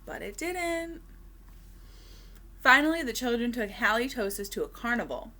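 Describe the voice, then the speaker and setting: A woman reading a children's storybook aloud expressively, with deliberate dramatic pauses and emphasis. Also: on the high side, big pitch swings, bright, forceful tone, a little hiss or hum.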